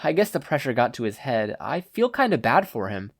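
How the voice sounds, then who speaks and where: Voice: mid-range voice, sing-song, fairly quick, no room sound, clean studio sound. A podfic reader voicing a hearty, sentimental teenage boy student with a casual, enthusiastic bro manner. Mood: sympathetic.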